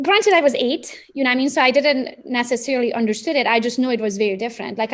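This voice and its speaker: A woman in her thirties with a Romanian accent, telling personal stories in a casual, chatty, animated way as a podcast guest. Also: a little high. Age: 30s. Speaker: podcast guest, real estate agent and coach.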